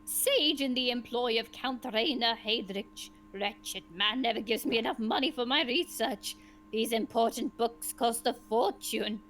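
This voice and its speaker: A woman voicing a grumpy middle-aged male sage with a sharp, wavering voice and a pompous, drawn-out delivery, for a storybook reading. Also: a little high, a little hiss or hum, poor audio. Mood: grumpy, complaining.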